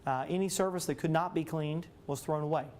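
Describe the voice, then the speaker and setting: Quick-talking school official in interview. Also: a little mellow. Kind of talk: unscripted interview answer. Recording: faint rumbling background noise.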